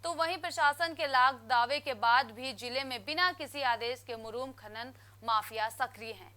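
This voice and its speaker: A woman reading scripted television news bulletins in a crisp, formal newsreader manner. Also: high voice, normal speed, bright, forceful tone. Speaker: news anchor.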